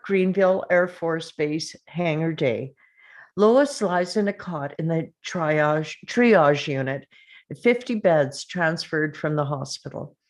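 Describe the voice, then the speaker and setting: Woman, script narrator, slow pace, lowish pitch, table read. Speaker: stage-direction narrator.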